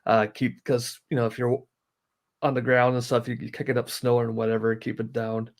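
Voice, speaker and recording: A man's voice: medium pitch, monotone, normal speed. A man giving casual, practical explanations as a guest, in a matter-of-fact, step-by-step way. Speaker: guest, hobbyist sharing practical tips.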